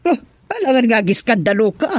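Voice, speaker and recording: A woman's voice: a little high, sing-song, fairly quick, no room sound. An actress playing a cautioning middle-aged mother in a radio drama, with a Filipino accent. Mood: wary, cautioning. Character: cautioning older matron, a wary mother. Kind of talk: Ilocano radio drama acting.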